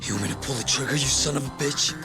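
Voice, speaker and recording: A man's voice: medium pitch, racing, lightly acted. A man playing a bitter, volatile young man in a dubbed video game cutscene, with a strained delivery. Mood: furious. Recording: loud music behind the voice.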